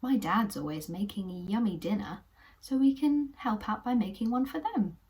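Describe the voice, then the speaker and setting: A woman's voice: medium pitch, expressive, normal speed, darkish tone, close to an everyday voice. A woman voicing Bertie, a young male woodland puppet creature who is curious and eager to help, in a children's puppet story. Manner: eager, wondering questions.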